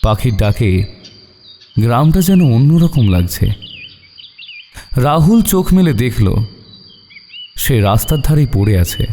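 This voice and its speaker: A man's voice: medium pitch, very animated, slow, pauses often, dark tone, slight background noise. A man narrating a scripted horror story in a dramatic storytelling style. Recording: long reverb, background music bed.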